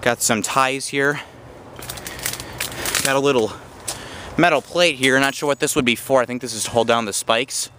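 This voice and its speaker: A man's voice: mid-range voice, moderate pace, pauses often. Midwest guy rambling into his camera mic outside in the woods, lots of outdoor noise. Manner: rambling, with restarts and fillers, narrating as he goes. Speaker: vlogger exploring abandoned railroad tracks. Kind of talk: handheld walking commentary. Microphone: handheld camera mic while walking.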